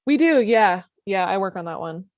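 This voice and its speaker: A woman's voice: medium pitch, animated, normal speed, no room sound, natural voice. A polite but doubting female TV executive in her thirties, acted by a woman at a comedy table read. Her voice is mid-range and close and dry in sound, with a dry, questioning manner. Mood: dry skepticism. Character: polite, skeptical 35-year-old female TV executive.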